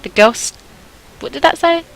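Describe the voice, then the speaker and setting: A woman's voice: sits high, lively intonation, normal speed, creaky, fairly bright tone, low-quality recording. A woman hosting a gaming video, reading a visual novel aloud with casual, playful, self-mocking commentary.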